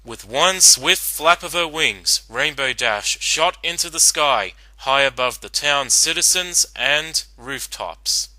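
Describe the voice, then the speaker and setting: Guy reading a story out loud dramatically, super bright sharp voice, no room echo. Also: a little high, expressive, slow, hardly any pauses. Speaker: narrator. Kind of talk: dramatic scripted story narration.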